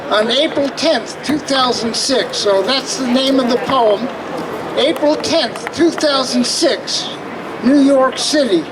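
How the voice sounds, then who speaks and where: Male poet, slow, measured, declamatory, high voice, off-mic with crowd and street noise. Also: distant mic. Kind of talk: spoken-word poetry reading. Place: outdoor urban park rally.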